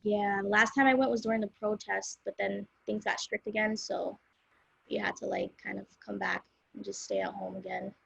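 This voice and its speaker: Creaky-voiced young woman chatting casually. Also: mid-range voice, normal speed, no room sound, highs cut off. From South Central Los Angeles, USA. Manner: relaxed, rambling, with many fillers like "um" and "like". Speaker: guest singer-songwriter. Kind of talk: casual interview chat.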